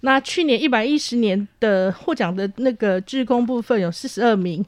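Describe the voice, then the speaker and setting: A woman university administrator with a Taiwanese Mandarin accent, giving appreciative, deliberate spoken remarks in an interview, often ending phrases with soft particles. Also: fairly high pitch, no echo.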